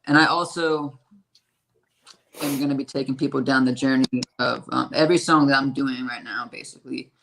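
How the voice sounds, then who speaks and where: A man with a raspy, hoarse voice, talking about his music in a casual interview and rambling with lots of fillers and swearing. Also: mid-range voice, fairly even intonation, fairly quick, a bit bright, a little hiss or hum, rough recording. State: hoarse from a strained voice. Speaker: independent musician.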